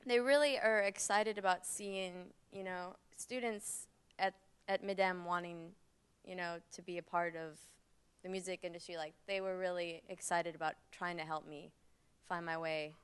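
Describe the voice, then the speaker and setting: A woman's voice: fairly low pitch, clear, resonant tone. A young woman student around twenty sharing her experience at a panel, eager and a little hesitant. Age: about 20. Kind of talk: panel discussion.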